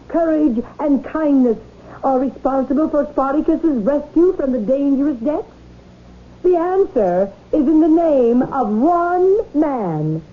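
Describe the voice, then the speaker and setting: An actress playing an excitable, doting society wife in theatrical 1970s radio drama style. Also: female voice, high-pitched, heavily acted. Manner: theatrical, exclamatory. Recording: noisy, tinny 1970s radio recording.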